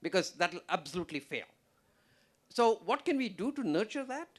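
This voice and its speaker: A man answering an audience question on a conference panel, explaining in an emphatic, animated way. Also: high-pitched, normal speed. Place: conference stage.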